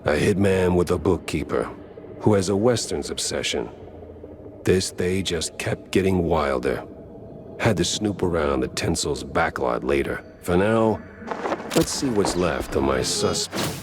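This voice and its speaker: An actor playing a weary, wisecracking detective mouse in a noir game, with wry, sardonic delivery. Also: male voice, low voice, animated, measured pace, a little hiss or hum. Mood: wry, brooding. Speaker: protagonist and narrator.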